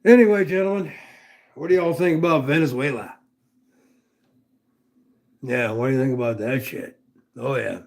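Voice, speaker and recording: A man's voice: medium pitch, moderate pace, gravelly, pauses often, a little mellow. An older man hosting a casual livestream, rambling, folksy and profane as he reads comments. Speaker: livestream host.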